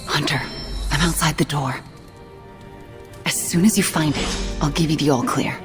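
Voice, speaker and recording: An actress playing an earnest, nervous young superheroine in a video game cutscene, hesitant and awkward when trying to bluff. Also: female voice, deep voice, fairly even intonation, moderate pace, over music, natural voice. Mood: eager.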